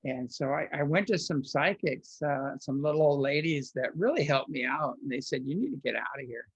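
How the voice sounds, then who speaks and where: A man tells long personal stories as an interview guest, circling back on himself and restarting his sentences. His voice sits at an ordinary pitch. The recording is dull and lo-fi, with no room echo. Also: expressive, moderate pace, husky. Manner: rambling, with frequent restarts and filler words.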